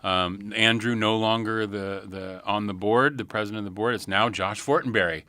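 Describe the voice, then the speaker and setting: Male radio host for an arts chat: very deep but bright, enthusiastic, closely recorded and dry. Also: steady tone, normal speed, dry recording. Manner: enthusiastic, chatty. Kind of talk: live arts interview with announcements.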